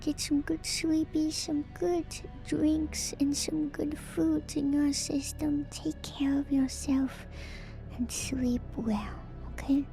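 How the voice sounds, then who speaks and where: Female ASMR streamer, hushed breathy whisper with creaky edges, mellow and soothing, unhurried delivery. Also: high-pitched, level tone, soft background music. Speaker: streamer and host. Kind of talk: ASMR livestream sign-off chat. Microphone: close microphone.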